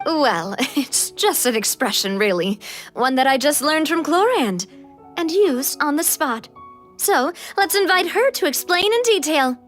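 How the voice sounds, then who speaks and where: A woman voicing a bubbly young leader in a game, playful and quick to laugh. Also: high voice, soft background music. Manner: lively, playful, laughs often.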